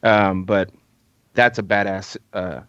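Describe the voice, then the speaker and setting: A man's voice: on the low side, steady tone, slow, fairly bright tone, clear, resonant tone, dry recording, dull audio. A man guesting on a casual podcast, easygoing and agreeable.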